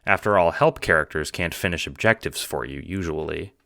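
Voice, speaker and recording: A man's voice: sits low, a little fast, gravelly, sharp tone, no echo, clean studio sound. A man reading scripted second-person audiobook narration with a dramatic storytelling delivery.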